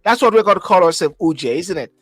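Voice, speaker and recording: A Jamaican man hosting a live talk show, with a high voice and an emphatic style that repeats key phrases. He is recorded close and dry, with faint music underneath. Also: expressive, fast talker, a bit bright, slightly rough, no room sound. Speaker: host.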